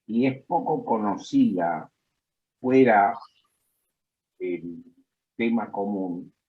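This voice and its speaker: A man from the audience commenting slowly and deliberately on a video call. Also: fairly low pitch, phone-quality sound. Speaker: audience member.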